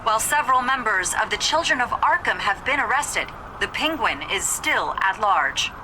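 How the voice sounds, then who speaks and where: A woman's voice: a little high, very animated, moderate pace, in a large hall, distant mic, noisy background. A woman playing a serious television news reporter in a video game, formal and dramatic. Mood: serious, urgent.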